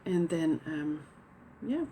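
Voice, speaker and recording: A woman from the Netherlands with a Dutch accent, hosting a knitting podcast in casual show-and-tell chat and pausing often with fillers and restarts. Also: deep voice, very animated, dark tone, slight background noise. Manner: hesitant, many fillers and restarts.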